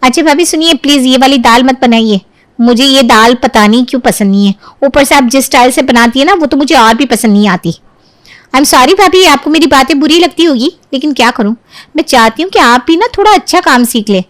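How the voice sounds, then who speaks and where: A woman's voice: high voice, animated, brisk, dry recording, pitched above the performer's own voice. A woman voicing a fault-finding, bossy young sister-in-law with a condescending, nagging manner in an audio story.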